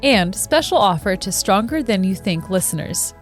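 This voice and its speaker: A woman reading a scripted sponsor message at a deliberate, reassuring pace. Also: mid-range voice, expressive, faint music behind. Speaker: ad reader.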